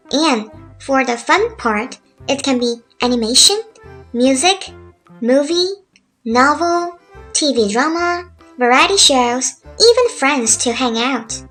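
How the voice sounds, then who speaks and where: A woman in her thirties from South Korea, speaking English with a Korean accent, calmly narrating a chill, laid-back talk as a language tutor. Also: high voice. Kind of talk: relaxed scripted narration over drawings. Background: faint music.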